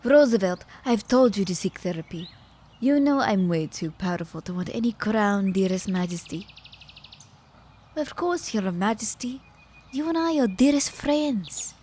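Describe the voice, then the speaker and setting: A woman's voice: medium pitch, animated, a little slow, a little mellow, slight background noise, lightly acted. A woman playing a clever, teasing immortal witch in an audio roleplay, with a playful, mock-courteous manner. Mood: sly, coaxing.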